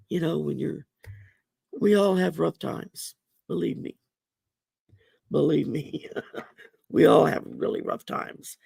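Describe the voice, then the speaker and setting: Slow gravelly woman. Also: sits low, a little mellow, no room sound. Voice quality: gravelly voice from damaged vocal cords. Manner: slow, folksy, chatty with many ums. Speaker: host and oracle card reader. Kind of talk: casual livestream chat. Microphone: computer mic on a livestream.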